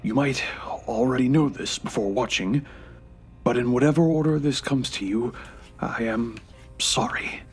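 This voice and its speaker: An actor playing a dying ninety-three-year-old grandfather in an audio drama, speaking in a halting, deliberate way with breathy near-whispers. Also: mid-range voice. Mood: solemn, weary. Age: 90s as played. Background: music.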